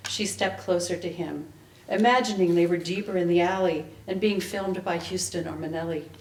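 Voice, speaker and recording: A woman author giving a dramatic, measured reading of fiction to be heard at a live event. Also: lowish voice, fairly even intonation, slight background noise. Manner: measured narration, acting out the dialogue. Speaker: author reading from own novel. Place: indoor library event space. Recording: echoey room, public event recording.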